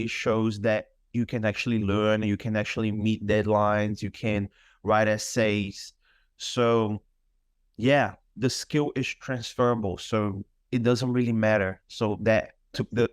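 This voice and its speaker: A man's voice: fairly low pitch, fairly even intonation, a little slow, clear, resonant tone, dry recording, studio quality. A man in his thirties from Brazil, a Portuguese speaker, chatting in an interview as a fitness instructor, thoughtful and full of fillers. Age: 30s. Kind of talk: casual interview chat.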